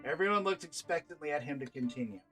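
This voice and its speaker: A man's voice: fairly high pitch, lively intonation, quick pace, fairly bright tone. A man narrating a written fantasy tabletop story aloud as the game's storyteller. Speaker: game storyteller reading aloud. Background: background music.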